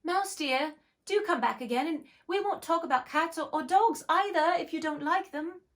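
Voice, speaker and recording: A woman's voice: fairly high pitch, sing-song, moderate pace, pitched above the performer's own voice. A woman voicing a polite, curious young girl who chatters earnestly. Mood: chattering.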